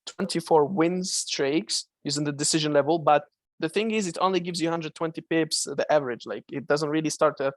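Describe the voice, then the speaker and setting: A man with a Malaysian accent runs a live trading Q&A and chats loosely, with fillers and restarts. His voice sits a bit high and carries a gravelly rasp. The sound is dry and close. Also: fairly quick, no room sound. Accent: Malaysian English. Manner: casual, chatty, many fillers and restarts. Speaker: trading mentor.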